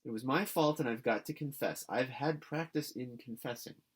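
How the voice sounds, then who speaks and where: A man's voice: mid-range voice, normal speed, slightly rough, voice pushed up, close to an everyday voice. A man reading a storybook as an earnest, dramatic orphan girl who pleads in formal, effusive speech. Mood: resolute, frightened.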